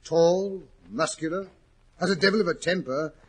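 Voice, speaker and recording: An actor playing a genial, easily puzzled older English gentleman doctor in a 1940s radio drama, chatty and a little hesitant. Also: fairly high pitch, moderate pace. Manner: hesitant, chatty, old-fashioned exclamations. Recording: muffled, rough 1940s radio recording.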